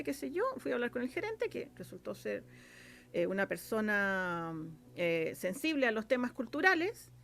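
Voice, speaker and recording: A Chilean woman with a fairly high voice talks as an interview guest. She is chatty and rambling, dropping in filler phrases as she explains things in a casual, conversational way. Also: fairly bright tone. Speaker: interview guest, radio drama scriptwriter.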